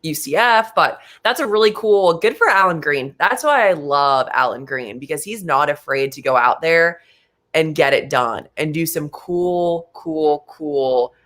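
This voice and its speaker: Animated young man talking football. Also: a little high. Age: late 20s. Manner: animated, talks in quick bursts with many fillers. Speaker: sports show co-host. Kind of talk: casual sports talk.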